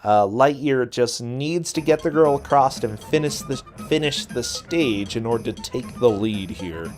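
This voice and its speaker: A man's voice: medium pitch, a little slow, a little mellow. A man doing casual, chatty commentary on a video game race. He laughs often, and his voice is getting a little worn. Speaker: teacher and streamer. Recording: music playing behind.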